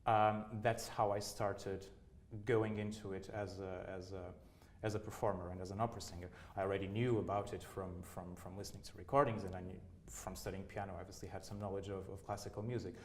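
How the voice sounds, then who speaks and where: Portuguese man, thirties, lowish voice, halting and repetitive in interview. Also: steady tone, a little mellow. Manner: hesitant, many restarts and repeated words. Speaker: opera singer, interviewee. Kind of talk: casual interview answers.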